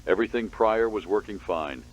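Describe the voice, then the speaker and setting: A man's voice: lowish voice, normal speed, lo-fi sound, a little hiss or hum, natural voice. A man playing a guarded, apologetic government spokesman holding a press briefing. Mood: guarded, official.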